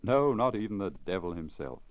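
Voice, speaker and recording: A man's voice: low voice, lively intonation, a little fast, muffled recording. A man reading the part of a boastful, discontented peasant husband in an emphatic storybook manner, on a crackly old disc. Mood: boastful, aggrieved.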